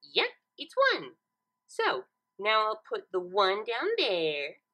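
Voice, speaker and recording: A woman's voice: mid-range voice, wildly swooping pitch, takes it slowly, bright, forceful tone, heavily acted. A woman voicing a bubbly, cheerful female cartoon pony with a playful, chirpy, sing-song delivery.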